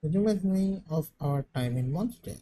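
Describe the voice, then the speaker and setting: A woman's voice: sits low, lively intonation, moderate pace, dark tone, slight background noise. A woman reacting casually over video game play in short remarks. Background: faint game music.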